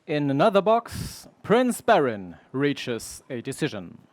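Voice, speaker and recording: A man's voice: a little high, big pitch swings, slow, no room sound. A man narrating an adventure radio serial in a theatrical, suspenseful scripted style.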